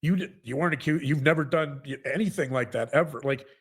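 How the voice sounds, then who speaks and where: A man talking earnestly to a co-host in a halting, repetitive, emphatic conversational monologue. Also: a little high, husky. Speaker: podcast co-host, psychologist.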